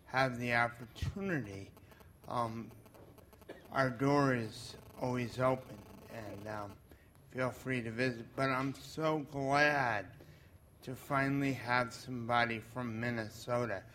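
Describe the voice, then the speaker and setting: Bright-voiced Boston man, halting welcome. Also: medium pitch, steady tone, slight background noise. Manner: friendly, halting, many "um"s. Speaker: workshop participant doing role-play. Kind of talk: role-play of a doorstep welcome.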